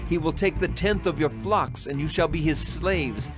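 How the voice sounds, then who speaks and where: A man's voice: on the high side, moderate pace, lightly acted. An actor playing a stern, authoritative male prophet in a dramatized scripture, solemn and declamatory. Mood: stern, warning. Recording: music behind the voice.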